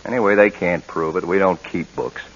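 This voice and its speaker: An actor playing a grumbling, bluffing young husband with a hesitant, offhand manner in a 1940s radio drama. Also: male voice, low-pitched, fairly even intonation, normal speed, lightly acted. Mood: grumbling, reluctant. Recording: noisy, dull 1940s radio recording.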